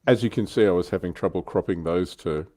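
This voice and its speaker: Low-voiced middle-aged man hosting a chat. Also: fast, mellow. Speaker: livestream host. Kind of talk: casual chat.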